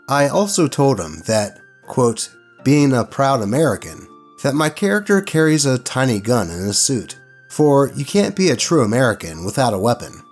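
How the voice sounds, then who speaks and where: A man narrating online horror stories as host, reading scripted posts aloud in an expressive, dramatic way. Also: mid-range voice.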